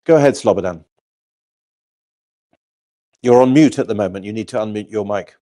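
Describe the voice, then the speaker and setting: A man hosting an online interview show, introducing his guest in a measured way with frequent filler sounds. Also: lowish voice, mellow. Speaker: host.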